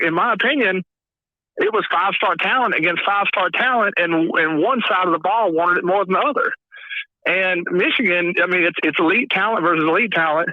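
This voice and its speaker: A man's voice: high voice, dry recording. A man from Mississippi calling in to a sports talk show, rambling with many restarts and fillers. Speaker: caller. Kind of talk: sports call-in commentary. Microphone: phone. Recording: tinny phone line.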